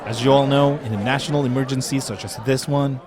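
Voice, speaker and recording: A man's voice: medium pitch, steady tone, a little fast, a crowd in the background, natural voice. A man playing a composed, authoritative young army lieutenant, firm and formal, in an audio drama.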